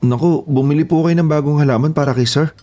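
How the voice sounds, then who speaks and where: A man's voice: on the high side, steady tone, fast talker, dark tone, natural voice. A man playing a polite, obedient houseboy and gardener who speaks deferentially in an audio horror drama. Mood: respectful, eager. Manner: deferential, eager to report.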